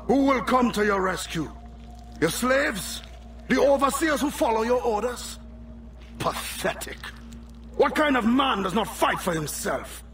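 An actor playing a vengeful assassin in a video game, speaking with intense contempt in formal phrases. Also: male voice, extremely high pitch, expressive, unhurried, a bit bright, far from the mic, a little hiss or hum, full character voice. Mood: contemptuous. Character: vengeful assassin confronting a slave-owning tyrant. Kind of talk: cinematic video game acting.

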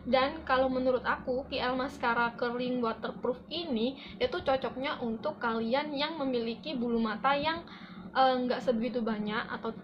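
Casting: young woman, highish voice, informal beauty-vlog chat that repeats words for emphasis, dull home recording. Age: young adult. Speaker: beauty vlogger. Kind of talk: casual product review to camera. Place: small room indoors. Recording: dull lo-fi audio with loud background noise.

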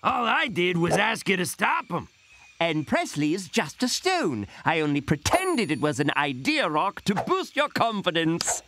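A man's voice: high-pitched, big pitch swings, unhurried, fairly bright tone, a little hiss or hum, full character voice. A man voicing a lazy, doom-saying slacker sloth in a cartoon, with laid-back, sighing talk that keeps tagging on "man". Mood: resigned. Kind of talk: animated cartoon acting.

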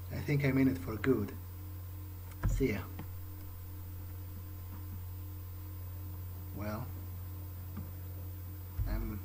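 A man's voice: mid-range voice, a little mellow, a little hiss or hum, close to an everyday voice, low-quality recording. A man roleplaying a brooding, wry vampire in a video game playthrough, musing aloud in a hesitant, muted way. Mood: wry, resigned. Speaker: let's player. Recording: game music behind voice.

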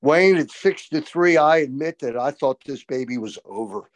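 An older man co-hosting a postgame lacrosse show over a video call, talking with emphatic, opinionated excitement. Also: fairly high pitch, normal speed, no room sound. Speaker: co-host, college lacrosse analyst. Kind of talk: excited postgame sports talk. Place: small room indoors. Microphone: video call webcam.

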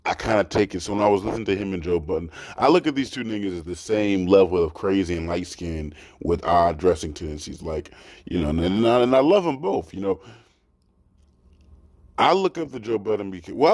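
Deep-voiced young man reacting casually. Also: no room sound. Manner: animated, emphatic, profane and rambling. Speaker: reaction host. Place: small dark room indoors.

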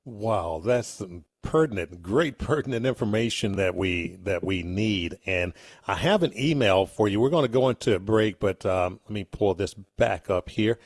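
Deep-voiced male radio host. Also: lively intonation, no echo, clean studio sound. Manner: easygoing, halting with fillers. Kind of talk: radio talk show hosting.

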